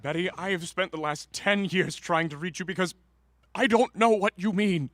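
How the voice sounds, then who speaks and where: High, tense, stammering father played by an actor. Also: a bit bright, no echo, clean studio sound. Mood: defensive, pained. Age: middle-aged. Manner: halting, stammering, emotional. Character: anguished, guilt-ridden, defensive middle-aged father. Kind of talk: radio drama acting.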